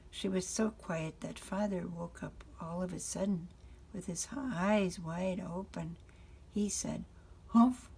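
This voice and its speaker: Older woman reading very slowly, creaky voice. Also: fairly low pitch, fairly even intonation, a little mellow. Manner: unhurried, pausing to address the children. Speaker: grandmother reading to grandchildren. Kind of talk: reading a children's picture book aloud.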